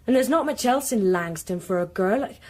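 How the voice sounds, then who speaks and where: A woman's voice: mid-range voice, animated, a little fast, darkish tone, close to an everyday voice. An actress playing a candid, gossipy young servant girl, chatty yet deferential, in period radio drama. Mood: rueful. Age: young adult.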